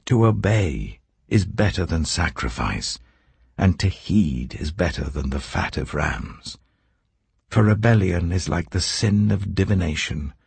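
A man's voice: extremely low pitch, vocal fry, slightly rough, no echo, full character voice. An older man voicing Samuel, a stern aged male prophet, in a grave, rebuking manner. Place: indoor wood-panelled study.

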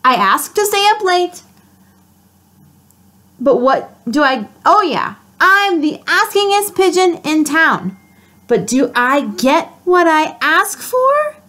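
A dramatic storybook pigeon that wails and whines over everything it never gets, as a woman reading aloud puts it on. The voice sits high and swoops wildly up and down. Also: sing-song, slow pace, pushed and bright, heavily acted. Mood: whiny. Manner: whining, wailing complaints. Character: whiny, dramatic pigeon who always asks.

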